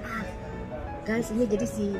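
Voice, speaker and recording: A woman from Sumatra hosting her vlog, chatting casually with a guest over a meal, laughing often and filling pauses with "eh". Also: on the high side, flat delivery. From Sumatra, Indonesia. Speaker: vlog host. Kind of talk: casual interview chat. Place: restaurant. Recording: loud background music. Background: loud music.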